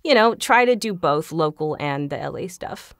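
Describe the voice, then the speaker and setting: A woman with a slightly low voice talks as a podcast guest in an earnest, chatty way. The sound is very dry, with no room around it. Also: expressive, moderate pace, no echo. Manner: chatty, earnest, often chuckling. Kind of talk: casual interview chat.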